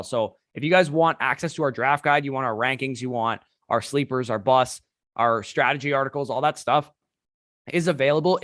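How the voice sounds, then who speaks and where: Host, male, hoarse from losing his voice, rapid-fire delivery, dry mic. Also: mid-range voice, a bit bright, no room sound. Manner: fast, run-on analysis with restarts. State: hoarse, lost voice. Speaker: fantasy football podcast host. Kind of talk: solo fantasy sports recap.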